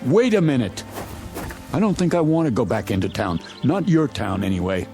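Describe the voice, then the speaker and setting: A man's voice: mid-range voice, sing-song, normal speed, vocal fry, over music. A man playing a suspicious, irritable newspaper reporter stranded in a small town, curt and guarded, in an audio drama. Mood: shaken, wary.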